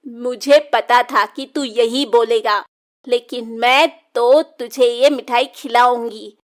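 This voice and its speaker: A woman's voice: a little high, steady tone, slow pace. A woman voicing a vengeful young witch in a children's cartoon story, with a mocking, cackling manner. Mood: gloating, taunting. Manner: taunting, cackling.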